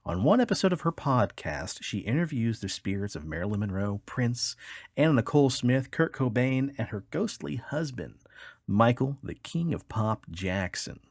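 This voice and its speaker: A man's voice: medium pitch, very animated, moderate pace, gravelly, no echo, studio quality. A man co-hosting a podcast, reading odd news stories aloud with casual, wry, joking commentary. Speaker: podcast co-host.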